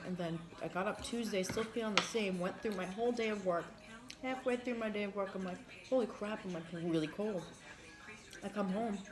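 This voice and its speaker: A woman with a cough from a recent flu, talking frankly and swearing freely as she chats over a meal. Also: medium pitch. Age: adult parent. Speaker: mukbang co-host. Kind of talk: casual chat while eating.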